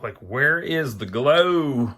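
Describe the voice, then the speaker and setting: A man's voice: medium pitch, animated, takes it slowly, bright, forceful tone. A man narrating a hands-on repair video in a casual, folksy way, thinking aloud as he works. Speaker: host of an amateur electronics repair channel.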